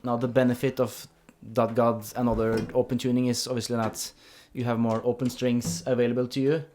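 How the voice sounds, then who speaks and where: A man's voice: mid-range voice, flat delivery, brisk, a little mellow, slight background noise. A man hosting an instructional guitar video in a conversational interview style.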